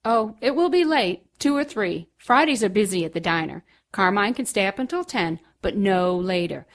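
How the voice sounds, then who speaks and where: A woman's voice: medium pitch, expressive, moderate pace, lightly acted. An actress playing a busy mother with a dry, teasing manner in an audio drama. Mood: breezy. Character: breezy, wry working mother.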